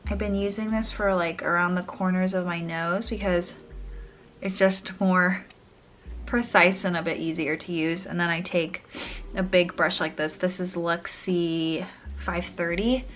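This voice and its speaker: A woman's voice: lowish voice, fairly even intonation, a little slow, fairly bright tone, slight background noise. A young woman chatting casually through a makeup tutorial, a little sniffly, rambling and trailing off mid-sentence. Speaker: beauty vlogger.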